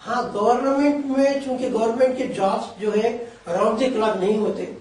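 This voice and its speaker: A man's voice: very high voice, normal speed, a little mellow, recorded from a distance. Man explaining at length in an echoey room. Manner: long explanatory sentences, Urdu-flavoured Hindi with English terms. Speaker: career guidance expert. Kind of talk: explanatory interview answers.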